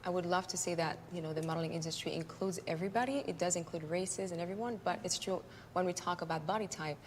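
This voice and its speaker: Low-voiced woman in reverberant room. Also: fast talker, a little hiss or hum. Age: 20s. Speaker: international model. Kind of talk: interview panel talk.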